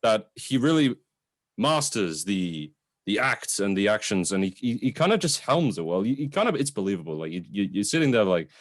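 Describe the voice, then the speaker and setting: A man's voice: on the low side, very animated, moderate pace. A man co-hosting a casual review discussion, conversational, often repeating words as he works out his phrasing. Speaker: film review show co-host.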